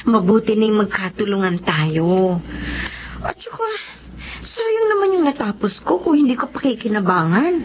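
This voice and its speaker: A woman's voice: mid-range voice, animated, normal speed, noisy background, close to an everyday voice. A woman playing a determined Filipino working mother in her thirties in a radio drama. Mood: firm, insistent. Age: 30s. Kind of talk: radio drama acting and narration. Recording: muffled lo-fi audio under music.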